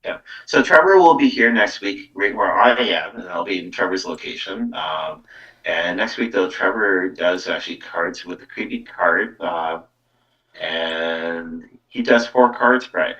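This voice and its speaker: A man with a low, bright-edged voice chats casually as the host of a live psychic reading show. The sound is dull and he seems some way from the microphone. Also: a little slow. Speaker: psychic medium host giving card readings. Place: live internet stream. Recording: dull band-limited sound, far from mic.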